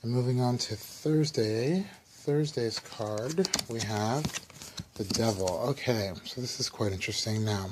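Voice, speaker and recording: A man with a slightly raspy voice, casually talking through a weekly tarot reading with loose, rambling explanations. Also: mid-range voice, measured pace, very noisy. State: slightly raspy, under the weather. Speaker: tarot reader.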